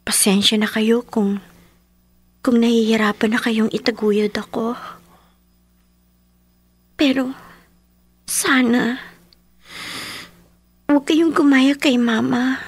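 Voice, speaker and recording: A woman's voice: high voice, gravelly, slightly rough, dry recording, heavily acted. A woman playing a polite, earnest, hurting teenage daughter in a radio drama. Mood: tender pleading. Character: dutiful, hurting teenage daughter pleading with her father.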